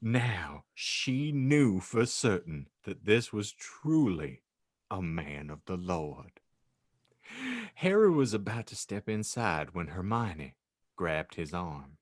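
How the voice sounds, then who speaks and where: A man's voice: sits low, big pitch swings, takes it slowly, no echo. A man hosting a podcast, narrating fan fiction in a dry, mock-serious way. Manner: dry, mock-serious reading, breaking into laughs.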